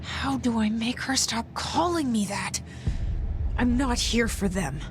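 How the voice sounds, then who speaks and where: A woman's voice: fairly high pitch, a little slow, noisy background, lightly acted. An actress playing a guarded, determined young woman, a newly appointed director in a video game, speaking tersely and earnestly. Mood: brooding, intimate. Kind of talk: video game acting, inner monologue and dialogue. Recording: loud music behind the voice.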